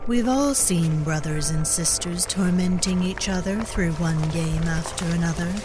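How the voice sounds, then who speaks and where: A woman playing a grave storyteller of dark tales in an audio drama, narrating deliberately and ominously over music. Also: on the low side, close to an everyday voice. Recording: loud music under the voice.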